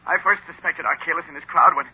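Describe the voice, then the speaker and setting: An actor playing a tormented, earnest young lieutenant in an old radio drama, speaking haltingly and desperately. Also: male voice, fairly high pitch, sharp tone, husky, heavily acted. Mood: urgent relief. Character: tormented young male lieutenant freed from a spell. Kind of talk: 1930s-40s radio drama acting. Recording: muffled old radio broadcast recording.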